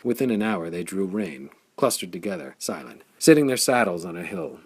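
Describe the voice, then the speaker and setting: Gravelly deep-voiced male audiobook narrator. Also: expressive, moderate pace, darkish tone. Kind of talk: audiobook reading of a pulp science-fiction story.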